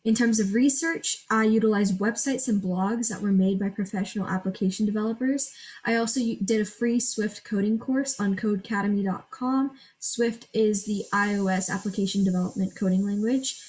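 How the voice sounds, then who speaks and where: A woman's voice: medium pitch, normal speed, a little mellow, rough recording. A teenage girl, a native Gujarati speaker, gives a school project presentation in a mid-range voice. She reads from a prepared script and explains each screen step by step. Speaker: student presenter.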